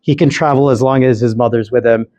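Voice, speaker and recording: A man's voice: mid-range voice, fairly even intonation, quick pace, mellow. A man in his forties telling his life story conversationally as a podcast guest. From United States and Saudi Arabia. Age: 40s.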